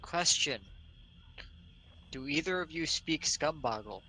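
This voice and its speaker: A man's voice: a little high, lively intonation, slow pace, sharp tone, poor audio. A man playing a tabletop game, cautious and asking the group questions. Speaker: player. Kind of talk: casual tabletop play.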